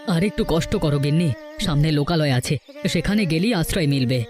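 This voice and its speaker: Cartoon folk-tale role: male actor as proud, penniless village family man, fairly high voice, earnest declamation, music underneath. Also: brisk, close to an everyday voice. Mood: reassuring. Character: proud, poor homeless village husband and father. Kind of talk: Bengali cartoon folk-tale dialogue acting.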